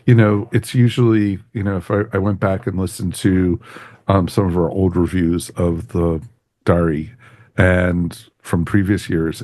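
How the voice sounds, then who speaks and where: A man's voice: deep voice, mellow, no room sound, clean studio sound. A man hosting a podcast, giving a casual review in a rambling way that often circles back on phrases. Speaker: podcast host.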